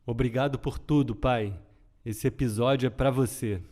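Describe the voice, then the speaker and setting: A middle-aged man with a Brazilian accent, hosting a podcast in an earnest, measured reading style. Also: mid-range voice, fairly even intonation, a little mellow. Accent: Brazilian Portuguese. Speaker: podcast host. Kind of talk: scripted podcast narration.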